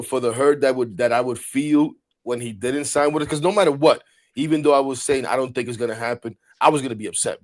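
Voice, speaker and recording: A man in his forties hosting a casual sports talk show, animated and rambling, repeating words and laughing often. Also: medium pitch. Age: 40s. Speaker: podcast host.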